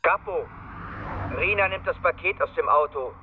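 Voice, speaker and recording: An actor playing a keyed-up police surveillance officer who reports in urgent, clipped phrases in a radio crime drama. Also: male voice, fairly high pitch, moderate pace, phone-quality sound, noisy background, natural voice. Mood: tense excitement.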